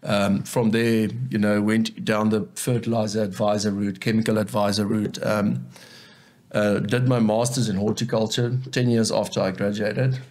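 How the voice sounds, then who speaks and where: A man's voice: on the low side, flat delivery, moderate pace, darkish tone. A South African man whose first language is Afrikaans, speaking earnestly as a guest in a casual interview and often repeating words as he thinks. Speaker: guest, agronomist.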